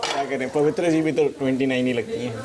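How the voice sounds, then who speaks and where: A man in his twenties bantering playfully. He talks quickly, with clearly heard chatter from a crowd behind him. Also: mid-range voice, steady tone. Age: 20s. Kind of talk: casual banter.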